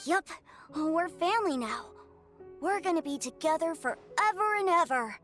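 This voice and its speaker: A woman's voice: extremely high pitch, lively intonation, moderate pace, fairly bright tone, faint music behind. A woman voicing a frail, innocent little orphan child in a video game. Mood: innocent, trusting. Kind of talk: English game voice acting.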